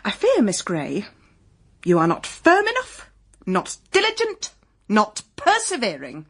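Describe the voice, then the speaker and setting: A woman's voice: medium pitch, wildly swooping pitch, measured pace, fairly bright tone, heavily acted. An actress playing a prim, self-satisfied English mother and employer in a period audio drama, with an English accent. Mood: cool, reproachful.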